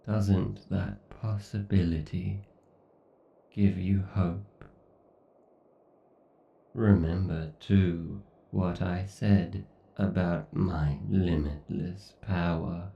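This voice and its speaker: Evil ai god for horror asmr, possessive n menacing, super slow, gravelly, echoey muffled sound. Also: male voice, deep voice, mellow, distant mic, full character voice. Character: obsessed, sadistic, godlike male AI tormenting its captive human. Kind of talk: horror ASMR roleplay acting.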